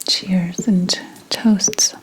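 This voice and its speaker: Slow whispering witch storyteller played by a woman. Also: lowish voice, fairly even intonation. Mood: ominous, hushed. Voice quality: breathy, unvoiced whisper. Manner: slow, hushed, deliberate storytelling. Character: 17th-century witch recounting her coven's misfortune. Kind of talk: whispered ASMR roleplay storytelling.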